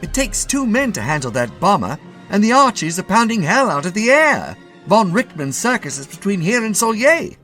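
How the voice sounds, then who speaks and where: Brief: excitable army sergeant acted by a male reader, deferential and urgent, pitched high, wartime story. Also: moderate pace, soft background music, full character voice. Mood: alarmed.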